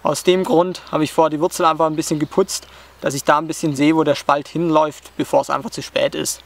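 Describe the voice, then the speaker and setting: A young man with a fairly high voice talks through a hands-on outdoor skill, calm and explanatory, pausing often with hesitant fillers. He is recorded close up while sitting on a forest floor, with faint insects in the background. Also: vocal fry. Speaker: bushcraft instructor.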